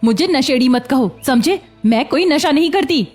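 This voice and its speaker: A kind but proud young beggar girl from a cartoon story, acted by a woman. Her voice is high and earnest, with music underneath. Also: animated, moderate pace, darkish tone. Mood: distressed.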